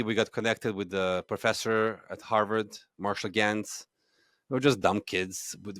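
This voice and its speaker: A man's voice: sits low, a bit bright, dry recording, studio quality. A man in his thirties from Serbia, a native Serbian speaker, telling stories as a podcast guest, with frequent restarts and fillers. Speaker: guest, movement founder. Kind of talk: conversational podcast interview.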